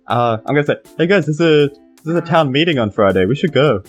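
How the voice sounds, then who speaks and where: A man's voice: fairly high pitch, animated, fast talker, faint music behind. A man chatting casually in character as a player in a tabletop game. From New Zealand.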